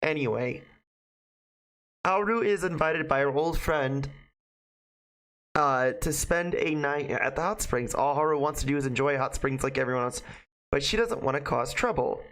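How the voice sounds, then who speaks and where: A game streamer chatting casually to viewers and reading aloud, informal and profane, with a halting delivery. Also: mid-range voice, expressive, fairly bright tone. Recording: music behind the voice. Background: music.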